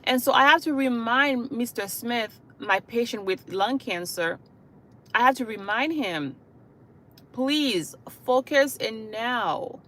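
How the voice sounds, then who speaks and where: A woman from Haiti speaking as a hospice caregiver to her online viewers. Her voice sits fairly high, and her delivery is earnest and repetitive. She leans on "you know" and "okay?" between her points. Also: lively intonation, slow pace, a bit bright, a little hiss or hum. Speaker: hospice caregiver and channel host. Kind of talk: livestream talk to viewers.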